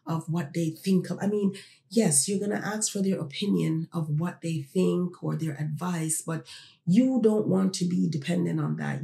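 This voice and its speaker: A podcast host born in Jamaica and raised in Toronto, musing in a loose, conversational way and often turning to the audience with questions. The voice is gravelly and mellow. It sounds like a roomy space with noticeable echo. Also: medium pitch. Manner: reflective, conversational, addresses listeners directly. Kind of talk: casual solo podcast talk.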